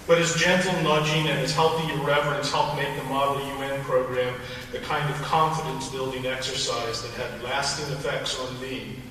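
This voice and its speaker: A man whose first language is Hungarian reads a prepared memorial tribute in a measured, earnest way, as an alumnus and trustee. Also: medium pitch, pushed and bright, slight background noise. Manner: measured, earnest reading pace. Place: large hall at a memorial service. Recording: distant echoey hall recording.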